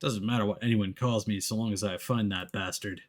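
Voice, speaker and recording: A man voicing a tough, gruff, grumbling male ex-detective with a grudgingly kind streak. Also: on the low side, fairly even intonation, brisk, voice pushed down, lightly acted. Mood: bitter, resolute.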